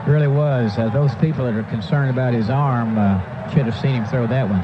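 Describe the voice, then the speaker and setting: A man's voice: medium pitch, a little fast. An American man giving conversational color commentary on a football game, with folksy, informal asides. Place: stadium broadcast booth. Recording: muffled, noisy radio broadcast.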